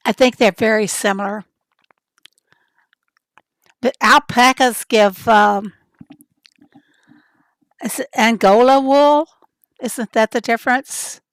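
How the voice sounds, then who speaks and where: A woman hosting a casual livestream, chatty and rambling as she greets viewers from the chat, her voice raspy and hoarse from a summer cold. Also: fairly high pitch, slow, long pauses, dry recording. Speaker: livestream host.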